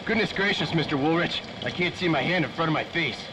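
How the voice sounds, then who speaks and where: A man's voice: on the high side, fast, noisy background, natural voice. A man playing a nervous, superstitious, deferential helper to a detective in a 1930s-style radio drama. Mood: frightened. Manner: jittery, deferential.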